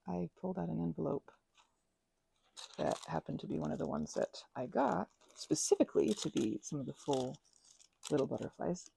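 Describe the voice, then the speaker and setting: A woman making soft-spoken ASMR at home, rambling as she crafts, full of fillers and dipping into whispers now and then. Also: low-pitched, animated, normal speed, vocal fry, slight background noise, rough recording. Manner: meandering, filler-heavy, drifting into whispers. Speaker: ASMR creator. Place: indoors at home.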